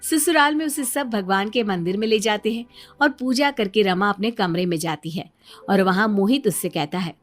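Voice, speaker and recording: A woman's voice: medium pitch, moderate pace, soft background music. A woman narrating a scripted story as the storyteller.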